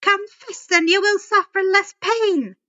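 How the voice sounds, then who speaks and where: Lady doing a creepy old monster teacher for an audiobook, high and sharp, fake sweet then snarly, kinda muffled mic. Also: fairly even intonation, a little slow, full character voice. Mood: menacing, accusing. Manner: cooing false sweetness turning to snarling sneer. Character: menacing old woman, secretly winged fanged monster teacher.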